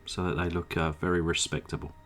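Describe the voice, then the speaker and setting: A man with a slightly croaky throat narrating his model car restoration at a home workbench, in a relaxed, chatty way full of "uh" fillers. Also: sits low, fast talker, faint music behind. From England. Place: home workshop. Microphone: desk microphone.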